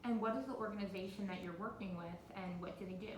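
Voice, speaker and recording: Fast-talking woman interviewer, echoey noisy room. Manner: encouraging. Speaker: interviewer and coach. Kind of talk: interview questions and informal coaching feedback. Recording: roomy, noisy phone recording with rumble.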